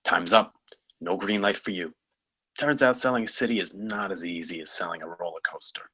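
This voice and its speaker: Man doing a blunt exec in a table read, raspy bright voice, clipped and bossy. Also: deep voice, brisk, rough recording. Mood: blunt, demanding. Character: blunt, challenging male company executive. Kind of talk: live screenplay table read.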